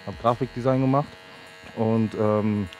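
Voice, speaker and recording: A man's voice: on the low side, steady tone, measured pace, darkish tone, a little hiss or hum. A man in his thirties, a barber, casually explaining his work through a headset mic in a sports hall. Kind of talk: casual explanation while working. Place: large indoor sports hall.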